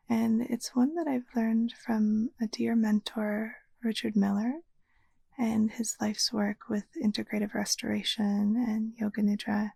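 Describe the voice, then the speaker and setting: Woman, meditation teacher, soft near-whisper, soothing, even intonation, close dry sound. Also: on the high side, vocal fry, breathy edge, no echo. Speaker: yoga nidra meditation teacher. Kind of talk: guided meditation narration.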